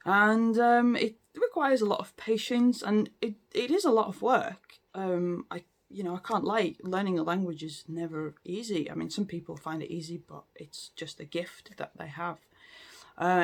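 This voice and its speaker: Late thirties, casual talk to camera, hesitant, frequent fillers, restarts sentences. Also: medium pitch. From Latvia. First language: Latvian. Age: late 30s. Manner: hesitant, many ums and restarts. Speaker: language learning vlogger.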